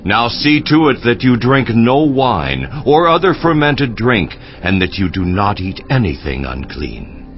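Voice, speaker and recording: An actor playing a solemn, commanding angel of the Lord in dramatized scripture, with slow, stately delivery. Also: male voice, on the low side, highs cut off. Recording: band-limited sound with music. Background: background music.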